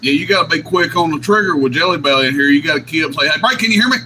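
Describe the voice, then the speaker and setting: A man chats on CB radio. He banters in a teasing, colloquial way, with clear static noise around the voice. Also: a little high, lively intonation, racing. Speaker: CB radio operator. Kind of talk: casual chat, bantering. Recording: noisy CB radio transmission.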